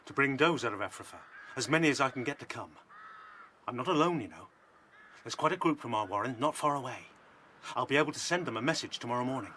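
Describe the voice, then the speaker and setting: Radio drama hero rabbit: bold, assertive officer with a sharp, forceful edge and persuasive drive. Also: male voice, medium pitch, animated, fast, a little hiss or hum. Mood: resolute, persuasive. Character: bold, determined male rabbit officer, rebel infiltrator.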